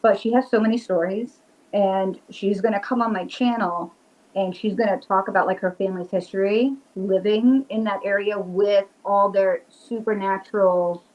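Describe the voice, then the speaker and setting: A woman's voice: mid-range voice, fairly even intonation, normal speed, muffled recording. A woman with a Rhode Island accent, a guest chatting eagerly and casually, full of "like" fillers. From Rhode Island, USA. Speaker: guest, witch and paranormal investigator. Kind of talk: casual video-call interview chat.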